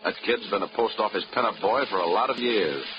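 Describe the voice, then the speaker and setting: A man's voice: fairly low pitch, fast talker, background noise, close to an everyday voice. A man playing a breezy, wisecracking insurance investigator in a 1940s radio drama. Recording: dull old radio recording with music.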